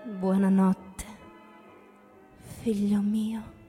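An actress playing a tender mother coaxing her grown son in a radio drama, soft and sometimes breathy. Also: mid-range voice, level tone, extremely slow, faint music behind. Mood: tender, soothing.

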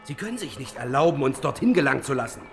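An actor playing a zealous revolutionary preacher, impassioned, insistent and declamatory, in a historical audio drama. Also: male voice, medium pitch, very animated, fast, gravelly, soft background music. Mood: urgent, challenging.

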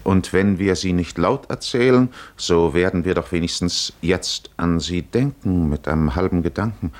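A man narrating a 1950s literary radio play in a musing, measured manner with deliberate pauses. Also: low voice, vocal fry, darkish tone.

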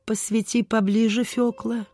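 An actress playing a concerned gentlewoman in a radio play, speaking in a gentle, pitying manner. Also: on the high side, level tone, measured pace, dry recording, soft background music. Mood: hushed, cautious. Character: concerned gentlewoman, lady of the house. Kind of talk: mid-20th-century radio theatre acting.

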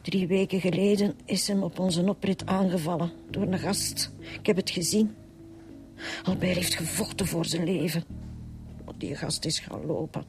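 An actress playing a grieving, embittered burglar's widow with a Flemish accent and a hesitant, sighing delivery in a radio drama. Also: female voice, fairly low pitch, steady tone, moderate pace, darkish tone, faint music behind, close to an everyday voice. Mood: weary, resentful.

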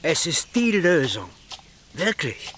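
An insistent, practical father in an audio drama. His voice is a bit high for a man and very animated, with clear background noise and animal sounds around it. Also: slow, heavily acted. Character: practical, insistent middle-aged village father.

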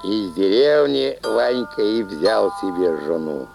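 A man narrating a folk tale as an animated storyteller in an audio play. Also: lowish voice, slow pace, vocal fry, over music.

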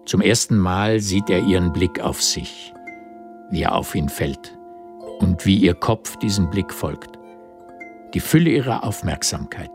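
A man narrating a radio drama in a measured, terse literary style. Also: sits low, expressive, soft background music. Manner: measured, terse present-tense storytelling.

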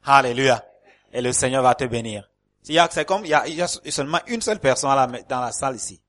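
A man's voice: mid-range voice, lively intonation, fast, fairly bright tone. A male pastor preaching a sermon to a congregation in a church hall. He speaks French as a second language, with fillers and repeated words, and breaks up his phrases with calls of alleluia.

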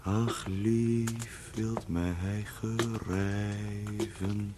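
Lonely lovesick poet out at night, reciting verse real slow and mournful, lowish voice, old radio play. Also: male voice, steady tone, background noise. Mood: lovelorn. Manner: measured, mournful verse delivery.

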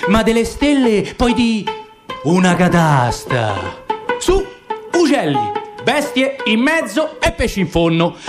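A man giving a theatrical, lively dramatic reading of dialect poetry and prose. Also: high voice. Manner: theatrical, lively, savoring the words. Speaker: narrator.

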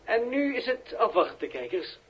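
A man's voice: high voice, sing-song, moderate pace, highs cut off. An actor playing a male television reporter commentating formally on a rocket launch in an old Dutch-language radio drama. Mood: expectant. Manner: formal, explanatory announcing. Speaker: broadcast commentator. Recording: old radio recording, faint noise.

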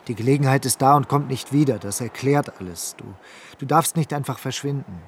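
An actor playing a persuasive lover who talks low, coaxing and insistent, in a noisy old radio play recording. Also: male voice, brisk, lightly acted. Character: smooth persuasive male lover, urging escape. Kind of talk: radio drama acting.

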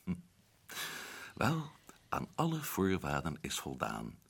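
A man's voice: rumbling low, wildly swooping pitch, slow pace, vocal fry, fairly bright tone, breathy edge, heavily acted. An actor playing an adventurous man back from Africa, telling his story earnestly and urgently in a radio drama. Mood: wistful. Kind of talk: 1960s radio drama acting.

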